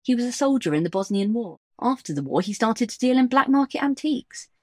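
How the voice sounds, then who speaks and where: Higher female voice, lively intonation, woman acting as frank knowledgeable informant, matter-of-fact, audio drama. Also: fairly quick, no echo, studio quality. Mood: informative, frank.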